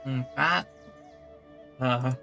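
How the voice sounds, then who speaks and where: A woman's voice: rumbling low, drawn-out words, vocal fry, pushed and bright. A woman streamer giving casual let's play commentary and short reactions while playing a game. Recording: game music behind the voice.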